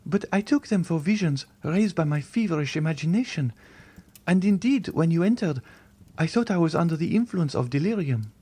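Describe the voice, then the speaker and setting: A man's voice: a little high, lively intonation, moderate pace, dark tone, slightly rough, pitched above the performer's own voice. A man voicing a frightened, sickly young noblewoman who pleads in breathless exclamations. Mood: anxious.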